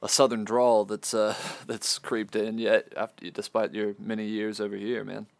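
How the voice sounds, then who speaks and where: A man with a low, steady voice that barely rises or falls, chatting as an easygoing host. His voice sounds dry and close, with no room around it. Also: moderate pace, vocal fry, dry recording. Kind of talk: casual interview chat.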